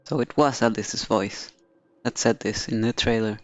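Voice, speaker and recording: A man's voice: mid-range voice, moderate pace. A man streaming a video game, reading the dialogue aloud and commenting on the story as he goes.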